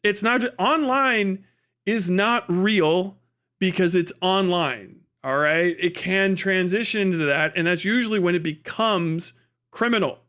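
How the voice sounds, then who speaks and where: A man's voice: high-pitched, unhurried, a bit bright, clean tone. A man giving casual livestream commentary, swearing often and stressing his points with emphatic repetition. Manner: profane, emphatic, opinionated, repeats words for stress. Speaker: livestream host.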